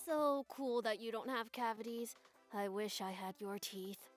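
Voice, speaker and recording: A woman as a high-voiced chatty schoolkid. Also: measured pace, natural voice. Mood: admiring. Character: friendly, admiring young classmate. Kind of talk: visual novel voice acting. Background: faint music.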